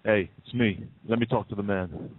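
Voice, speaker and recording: A man acts a menacing phony salesman in an audio play. The voice is husky and lowish, with an insincere edge. The recording is dry and band-limited. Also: steady tone, phone-quality sound, close to an everyday voice. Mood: cold, threatening. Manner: halting, falsely polite, then curt. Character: menacing gunman posing as insincere Bible salesman. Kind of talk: audio drama acting.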